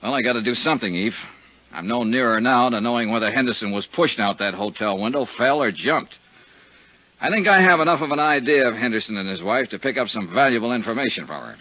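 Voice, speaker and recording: An actor playing a hard-boiled insurance investigator in a 1950s radio drama, clipped, dry and matter-of-fact. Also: male voice, mid-range voice, lively intonation, fast talker, bright, forceful tone, dry recording. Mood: businesslike. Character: brisk, hard-boiled insurance investigator narrating his case. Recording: dull 1950s radio recording, music behind.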